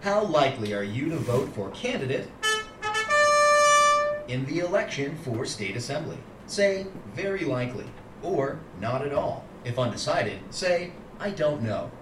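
An actor playing an automated political phone-poll caller who gets increasingly pushy, with formulaic prompts swinging into exaggerated salesmanship, performed live on stage. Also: male voice, mid-range voice, big pitch swings, a little slow, loud music behind the voice. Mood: formulaic, polite. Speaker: actor in a live interactive radio play. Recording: roomy, distant, noisy live theater recording.

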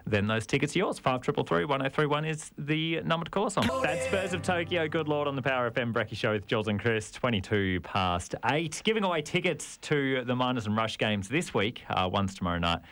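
A man's voice: medium pitch, animated, a little fast, hardly any pauses, fairly bright tone. An Australian man presents a breakfast radio show from a studio. His voice sits in a middle register and his delivery is casual and conversational.

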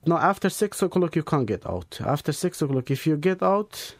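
A man's voice: fairly high pitch, very animated, moderate pace. Young man with Iraqi Kurdish accent. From Iraq. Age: young adult. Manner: plain, broken-English phrasing, frank. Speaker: interviewee.

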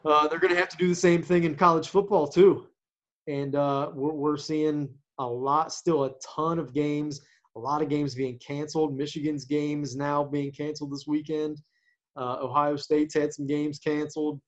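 A man who coaches, talking casually about sports as a podcast co-host, with a naturally quiet voice and a halting way of talking, full of restarts and fillers. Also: a little high, little pitch movement. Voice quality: naturally quiet voice that does not carry. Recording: dull lo-fi sound.

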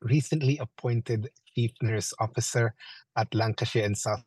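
A man's voice: on the low side, steady tone, moderate pace, a little mellow, husky, no room sound. A man in his forties from the Philippines, a guest on an online panel talk, speaking eagerly with filler phrases. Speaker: guest, chief nurse.

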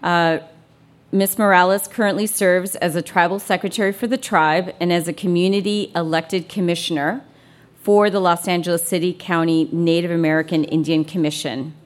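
A woman's voice: lowish voice, fairly even intonation, normal speed. A woman moderating a panel, giving formal introductions and putting questions to the speakers.

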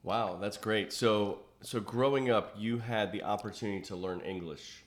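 A man's voice: on the low side, normal speed. A middle-aged man hosting a podcast interview, talking in a rambling way with many fillers. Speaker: podcast host.

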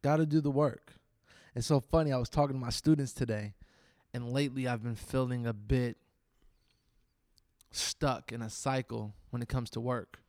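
A man's voice: medium pitch, steady tone, normal speed, a little mellow, dry recording, clean studio sound. A man co-hosting a podcast, chatting casually and backing his partner with short agreeing replies. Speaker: podcast co-host, college presenter. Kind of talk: casual chat.

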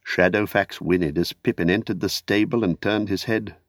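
Low-voiced male storybook narrator, dry sound. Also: fairly even intonation, no room sound. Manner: measured, storytelling delivery. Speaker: audiobook narrator. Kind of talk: scripted literary narration.

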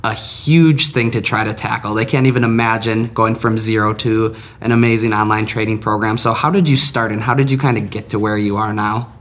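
A man's voice: on the low side, fairly even intonation, brisk, hardly any pauses, a bit bright. A man hosting a business podcast, who introduces his guest and asks questions with friendly, affirming replies. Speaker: podcast host. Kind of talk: scripted podcast interview hosting.

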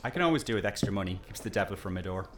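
An actor playing a chatty, teasing village pub landlord in an audio drama, with a folksy, jokey and politely nosy manner. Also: male voice, lowish voice, expressive, very fast, background noise, close to an everyday voice. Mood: wry, confiding.

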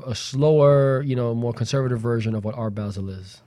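A man's voice: mid-range voice, fairly quick, dark tone. Playful male talk show co-host. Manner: playful, teasing, slangy banter. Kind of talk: casual radio chat.

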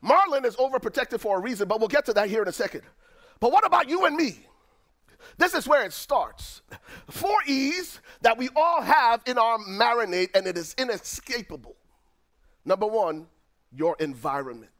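A middle-aged man with a Liberian accent, preaching a sermon as pastor, swinging from near-shouts down to low, quiet lines. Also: animated, moderate pace. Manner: preaching with dramatic swings, rising to shouts then dropping low.

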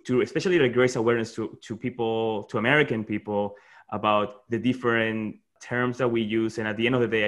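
A comedian from Peru speaks on a panel with a mid-pitched voice. He talks in a halting way, restarting his sentences often. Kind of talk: panel discussion.

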